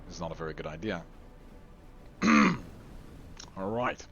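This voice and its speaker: A man giving chatty live commentary on a game tournament, his voice going hoarse. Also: sits low, wildly swooping pitch, a little slow, a bit bright, a little hiss or hum. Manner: chatty, rambling, lots of restarts and laughs. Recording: dull lo-fi recording with faint music.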